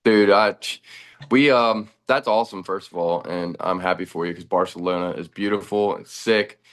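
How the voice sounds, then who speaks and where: A man in his thirties, a band's bass player, talking in a relaxed, rambling way during a casual chat. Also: moderate pace. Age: 30s.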